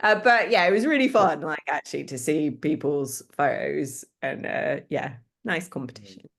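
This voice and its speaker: A woman's voice: deep voice, moderate pace, vocal fry. A woman in a band, chatting casually as a guest with lots of fillers and giggles. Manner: loud, enthusiastic storyteller. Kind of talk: casual interview chat.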